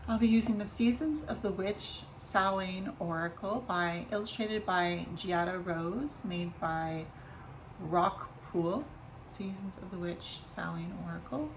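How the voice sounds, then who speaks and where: A woman with a slightly raspy voice doing a casual tarot reading vlog, talking softly and unhurriedly with many ums and restarts. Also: on the low side, a little slow, slight background noise, rough recording. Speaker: tarot reader.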